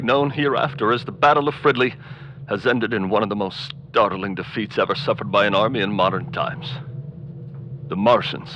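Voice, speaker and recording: A man's voice: fairly low pitch, natural voice. A man playing a solemn news announcer in a mock-serious retro radio drama, formal and declamatory. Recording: dull, noisy radio-drama sound.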